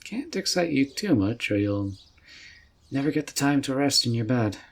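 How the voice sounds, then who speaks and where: A man playing a friendly, relaxed mage mentor with a folksy, reassuring way of talking in a roleplay audio drama. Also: mid-range voice, moderate pace. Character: easygoing, friendly male fantasy mage mentor.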